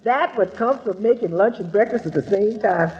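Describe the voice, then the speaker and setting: A woman's voice: mid-range voice, moderate pace, darkish tone, lightly acted. A woman playing a good-humored, flustered household cook in a 1940s radio comedy, apologetic and chuckly. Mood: flustered, amused. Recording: muffled, noisy 1940s radio recording.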